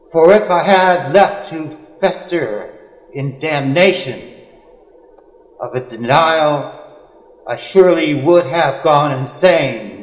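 Slow man reading poetry in heavy reverb. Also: fairly high pitch. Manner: earnest, measured, drawn-out phrasing with pauses. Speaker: poet. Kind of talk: solemn, dramatic poetry reading. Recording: echoey, long hall-like reverb.

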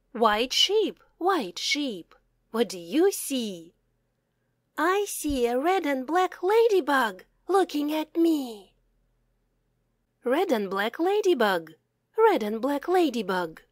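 Slow sing-song woman reading aloud. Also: mid-range voice, dry recording, clean studio sound. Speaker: children's book reader. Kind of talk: slow read-aloud for young learners.

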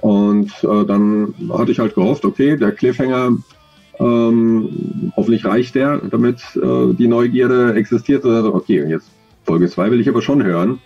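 A man's voice: medium pitch, moderate pace, vocal fry, breathy edge, highs cut off, soft background music. A man in his forties, an audio drama author, answering interview questions on a video call in a thoughtful, rambling, self-deprecating way.

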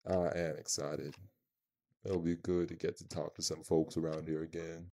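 An actor playing an imposing, protective vampire man in an audio roleplay, dry-witted, haughty and measured, with a gravelly voice. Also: low-pitched, level tone, noisy background.

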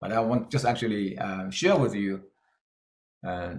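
A middle-aged man from China with a Chinese-Scottish accent, giving an earnest keynote talk with slides and pausing on fillers. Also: lowish voice, a little mellow, echoey room. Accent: Chinese-Scottish English. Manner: earnest, plain-spoken, with hesitant fillers. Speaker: keynote speaker.

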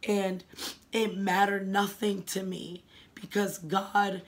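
Raspy-voiced young woman with a cold. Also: mid-range voice, flat delivery, slow. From New England. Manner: earnest, emotional, gestures a lot with her hands. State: hoarse from a cold. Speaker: vlogger. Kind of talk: personal faith vlog. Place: living room, indoors.

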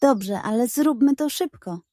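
An anxious storybook goat, acted by a woman, speaks in a high, squeaky voice. She protests and hesitates, dutiful yet easily tempted, recorded close and dry. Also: expressive, a little slow, mellow, dry recording. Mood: hesitant.

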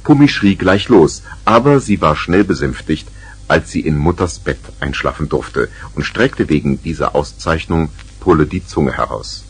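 A man's voice: a bass voice, animated, normal speed, breathy edge, soft background music. A man narrating a 1970s children's radio play as a scripted storyteller.

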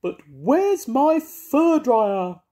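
Grandad doing a shrill diva cat, very high. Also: male voice, big pitch swings, takes it slowly, warm tone, husky, full character voice. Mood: wailing. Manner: shrill, theatrical, shrieking. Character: dramatic diva female cat, prone to rages. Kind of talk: storybook read-aloud.

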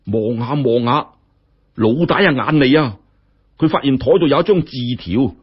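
A man's voice: medium pitch, animated, moderate pace, slightly rough, dull audio. A man with a Hong Kong Cantonese accent narrating a story in a colloquial, theatrical, exclamatory way. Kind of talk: animated scripted story narration.

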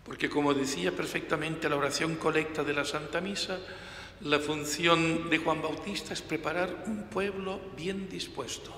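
A man's voice: on the high side, fairly even intonation, moderate pace. Older male priest, sixties, emphatic homily delivery on a lectern mic, roomy church sound with a faint hum. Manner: emphatic, gestures with hands, varies loudness for emphasis. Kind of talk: reading the Gospel and preaching a homily. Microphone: gooseneck lectern mic. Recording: reverberant church hall, faint room noise.

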